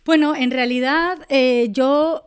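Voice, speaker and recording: A woman's voice: high-pitched, steady tone, slow. A woman from Spain with a Castilian accent, explaining things in articulate detail as a guest analyst in a conversational podcast interview. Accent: Castilian Spanish. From Spain, La Mancha. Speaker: guest journalist and political analyst.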